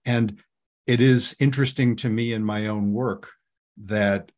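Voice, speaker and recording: Low voice dude hosting a podcast, thinking out loud, lots of restarts. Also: male voice, fairly even intonation, a little slow. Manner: thoughtful, searching, with restarts. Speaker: podcast host, couples therapist. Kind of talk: casual podcast conversation.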